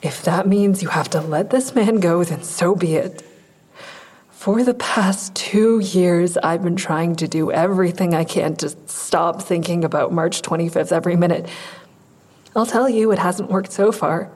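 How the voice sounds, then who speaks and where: A woman playing a weary, guarded, traumatized woman in an audio drama, curt and sardonic, with a husky voice. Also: on the low side, unhurried, a little mellow. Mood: weary, bitter. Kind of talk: full-cast audio drama acting.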